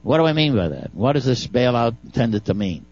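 A man's voice: mid-range voice, big pitch swings, a little fast, a little mellow, dry recording, dull audio, faint music behind. A man with a Brooklyn accent giving folksy, wry legal commentary on a talk radio show, often stumbling and repeating words.

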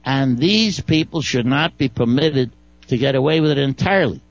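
A man's voice: medium pitch, lively intonation, normal speed, no echo, muffled recording. A man with a Brooklyn accent giving folksy, wry legal commentary on a talk radio show, often stumbling and repeating words.

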